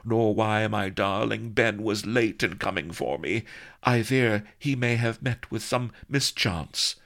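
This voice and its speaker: A man's voice: on the low side, measured pace, gravelly, fairly bright tone, voice pushed up. A man voicing a haughty, melodramatic young noblewoman who scolds indignantly in mock-archaic, theatrical speech for a comic storybook. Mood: puzzled.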